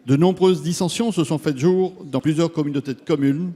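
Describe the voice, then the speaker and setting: A man giving a formal, measured parliamentary speech, reading out a prepared question as a member of parliament. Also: fairly high pitch, dark tone. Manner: measured, formal, read-out delivery. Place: large parliament chamber. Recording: long reverb of a large hall.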